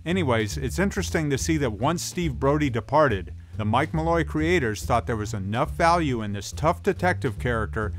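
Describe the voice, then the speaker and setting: A man's voice: medium pitch, lively intonation, moderate pace, hardly any pauses. A man reading scripted documentary narration in informal, conversational wording. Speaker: narrator and presenter. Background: guitar music.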